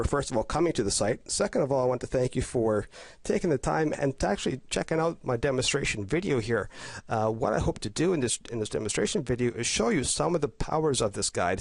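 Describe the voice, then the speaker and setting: A man's voice: mid-range voice, gravelly, no room sound. Congested man narrating gameplay. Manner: enthusiastic, halting, with many restarts and fillers. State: congested with a cold, a bit nasal. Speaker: game guide reviewer. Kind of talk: casual gameplay voice-over commentary. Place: at home.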